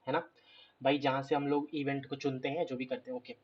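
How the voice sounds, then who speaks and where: A man's voice: medium pitch, little pitch movement, brisk, muffled recording. A man giving a casual online math lecture, talking to viewers like friends and mixing in English phrases.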